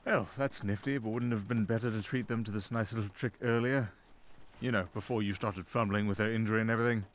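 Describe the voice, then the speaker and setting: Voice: medium pitch, steady tone, quick pace, slight background noise, close to an everyday voice. An actor playing a sarcastic, jealous talking fox familiar with a dry, nagging manner in a fantasy roleplay audio drama.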